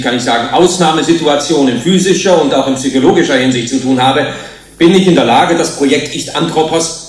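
An actor playing an earnest, dignified scientist in a radio play, speaking formally with measured emphasis. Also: male voice, mid-range voice, lightly acted. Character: earnest, dignified male scientist defending his humane outlook. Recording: very echoey room, faint noise.

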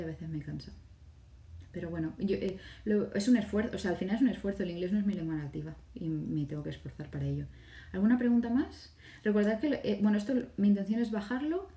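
A woman's voice: mid-range voice, mellow. A woman in her thirties from Catalonia, speaking with a Spanish accent from Catalonia, hosting a casual live stream from home and answering viewers' questions. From Catalonia, Spain. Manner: chatty, reads viewer questions aloud and answers them. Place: home room with bookshelves, indoors. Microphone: phone or webcam in front of her. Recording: echoey room, a little far from the mic.

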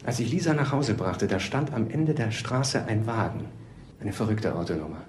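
An actor playing an earnest, odd, obsessive suspect in a crime radio drama, halting and insistent, in an echoing room. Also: male voice, fairly low pitch, animated, darkish tone, lightly acted. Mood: brooding. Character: earnest, odd, obsessive young murder suspect with mother fixation. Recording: echoing room, faint background noise.

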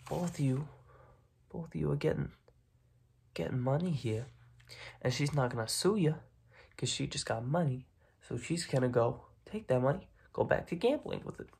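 A young man playing a smug, menacing mob boss in an ASMR roleplay, speaking in halting phrases with a breathy, raspy voice that often drops to a whisper. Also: on the high side, expressive, exaggerated voice. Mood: scheming. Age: young adult. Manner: slow, halting, repeats words, quietly intimidating. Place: dim room at home, indoors.

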